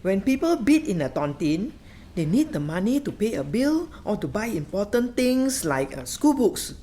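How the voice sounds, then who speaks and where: A woman acting a frugal, hospitable Singaporean housewife in a radio play, with a lively, chiding way of talking and Singlish particles. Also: mid-range voice, normal speed, a little hiss or hum, lightly acted. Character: frugal, hospitable kampong housewife and mother hosting dinner.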